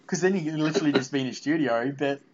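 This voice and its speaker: A man hosting a casual interview about video games, talking in an informal, halting, rambling way. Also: a little high, a crowd in the background. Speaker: podcast interviewer. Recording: muffled, noisy lo-fi call audio.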